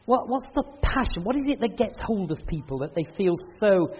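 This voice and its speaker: A man with a notably high voice hosts an evening event in a hall, chatting and joking with his listeners. The recording has a dull, lo-fi quality. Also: lively intonation, brisk, husky. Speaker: event host and speaker. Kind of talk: casual chat at a church event. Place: hall with an audience.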